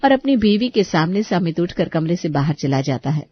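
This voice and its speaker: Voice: mid-range voice, big pitch swings, a little fast, breathy edge. A storyteller narrating a dramatic domestic bedtime story. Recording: dull lo-fi recording.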